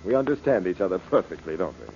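Bitter rich patriarch, commanding, sardonic, old radio drama, dull hissy recording. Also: male voice, low-pitched, lively intonation, quick pace, dark tone. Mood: cold, sardonic. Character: rich, bitter, imperious uncle taunting his heirs. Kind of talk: 1940s radio acting.

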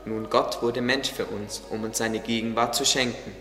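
A devout gardener played by a man in a radio play, solemn, fond and preaching. His forceful, bright voice carries in a long reverb with music underneath. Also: mid-range voice, steady tone, moderate pace, natural voice. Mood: explaining. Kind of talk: religious radio play acting.

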